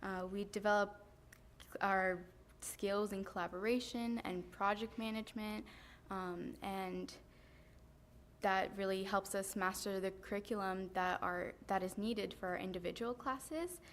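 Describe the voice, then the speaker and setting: Teenage girl, student speaker, earnest, steady, filler ums, podium mic, faint ambience. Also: mid-range voice, a little slow, slight background noise. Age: teens. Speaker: high school student speaker. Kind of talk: prepared public remarks. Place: school board meeting room, indoors. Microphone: podium microphone.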